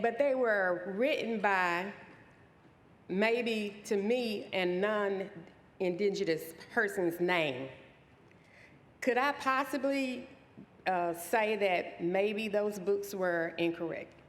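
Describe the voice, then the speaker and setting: A middle-aged woman in the audience, asking a question in a halting, hesitant way. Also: mid-range voice. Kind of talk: spoken question from the floor. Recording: long reverb of a hall.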